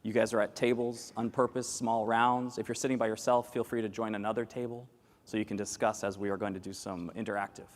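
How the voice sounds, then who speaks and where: Animated man joking in a big hall. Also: lowish voice, brisk. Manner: animated and joking, quizzes the audience. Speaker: keynote speaker. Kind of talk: lively conference keynote. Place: large conference hall with audience at tables. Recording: long reverb of a large hall.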